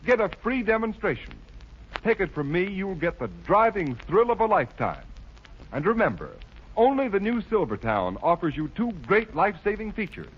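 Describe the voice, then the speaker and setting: An announcer, a man, delivering an enthusiastic, declamatory sales pitch in a 1930s radio advertisement. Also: sing-song, moderate pace, slightly rough, heavily acted. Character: enthusiastic, sincere male sponsor announcer selling tires. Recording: muffled vintage radio broadcast.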